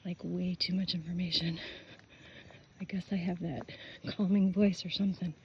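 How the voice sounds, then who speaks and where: An older woman talks while walking outdoors, her voice mellow and soft, often dropping to a breathy near-whisper as she gushes over what she sees. The sound is dull and lo-fi, like a phone mic, with faint outdoor noise behind her. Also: on the low side, fairly even intonation, moderate pace. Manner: chatty, gushing, softly spoken with breathy whispery stretches. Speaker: vlogger. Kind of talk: casual walking vlog. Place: outdoors on a neighborhood street.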